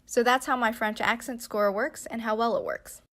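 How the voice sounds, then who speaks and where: A woman's voice: on the high side, steady tone, moderate pace, fairly bright tone. A young adult woman reading a scripted narration for a student slideshow presentation. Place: voice-over recorded for slides.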